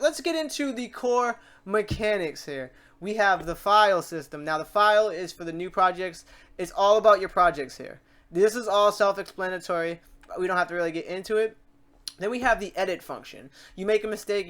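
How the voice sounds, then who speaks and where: A man with a distinctly high-pitched voice hosts an off-the-cuff software walkthrough. He is informal and chatty, rambling along and doubling back on his words as he explains things. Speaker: host of a software tutorial series. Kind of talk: casual screen-recorded software tutorial, talking off the cuff. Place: voice-over to a screen recording.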